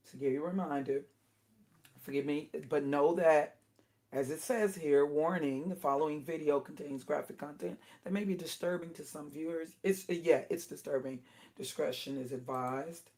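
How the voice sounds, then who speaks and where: A middle-aged woman with a naturally low alto voice that has gone hoarse and strained. She hosts a casual livestream and talks in stops and starts, breaking off and apologizing before she gets going. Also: a little mellow. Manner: halting, with many restarts and apologies. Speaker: livestream host.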